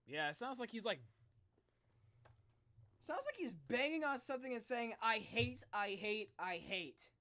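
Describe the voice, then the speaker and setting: A man streaming a casual reaction, thinking out loud in slangy talk and addressing his viewers directly. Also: extremely high pitch, big pitch swings, a little slow, pauses often, no room sound. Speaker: streamer, video host. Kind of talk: casual livestream reaction chat.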